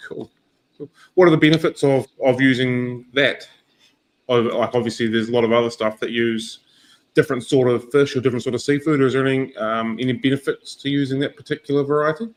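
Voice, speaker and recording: Host: man, croaky voice, easygoing, keeps saying cool. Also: mid-range voice, steady tone, brisk. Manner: easygoing, says cool a lot, hedging questions. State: croaky voice this morning. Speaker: livestream host. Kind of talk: casual interview questions.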